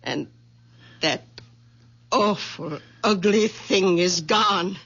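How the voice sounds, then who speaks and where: An actress playing a devout, insistent older aunt in a radio drama, with a halting, deliberate delivery. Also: female voice, medium pitch, a bit bright, slightly rough, full character voice. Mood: shaken, amazed. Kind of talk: 1970s radio drama acting. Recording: dull, muffled old radio broadcast.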